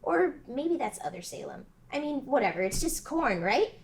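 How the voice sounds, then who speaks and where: A woman voicing an excitable, awkward teenage witch in a casual table read. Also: high-pitched, normal speed. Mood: rambling. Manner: bubbly, rambling and awkward. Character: clumsy, excitable young witch girl.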